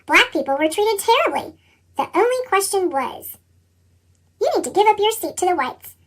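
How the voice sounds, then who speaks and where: A reader with a squeaky, pitched-up chipmunk effect, narrating a children's picture book and acting out the dialogue expressively. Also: moderate pace. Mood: earnest, determined. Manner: expressive storytelling, acts out dialogue.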